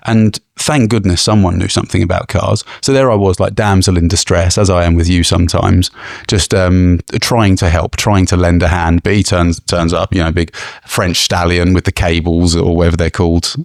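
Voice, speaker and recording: A young man with a low, gravelly voice tells a lively story on a podcast, laughing often and breaking off to restart his sentences. The sound is dry and close-miked. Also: moderate pace, no room sound. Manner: animated anecdote-telling, laughs often, many restarts. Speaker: podcast co-host. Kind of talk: casual chat, storytelling.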